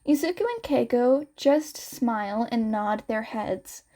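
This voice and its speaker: A woman's voice: on the high side, expressive, a little slow, warm tone. A woman reading scripted fan fiction as a narrator. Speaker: podfic narrator and reader.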